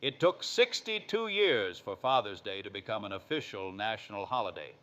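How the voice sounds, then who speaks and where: A man's voice: fairly low pitch, very animated, normal speed, fairly bright tone, lo-fi sound. A man playing a folksy, grumbling 1950s sitcom father who narrates in a dry, wry, plain-spoken way. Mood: measured, matter-of-fact. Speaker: show host. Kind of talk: scripted narration.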